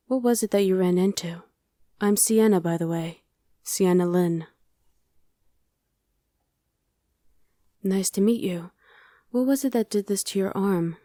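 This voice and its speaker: A woman's voice: on the low side, mellow, no room sound, studio quality, close to an everyday voice. A woman playing a friendly, streetwise young survivor of a demon invasion in an ASMR roleplay, talking casually and matter-of-factly to the listener with some hesitation. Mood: friendly, curious. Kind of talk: ASMR roleplay, conversational acting addressed to the listener.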